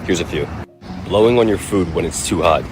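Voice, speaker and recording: A man reading out a scripted comedic list in a deadpan manner. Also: low voice, brisk.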